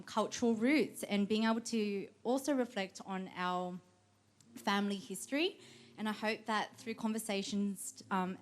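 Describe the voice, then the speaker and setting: A woman co-hosting a live event, speaking in an earnest, measured way. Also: mid-range voice. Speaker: event co-host. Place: event room with audience.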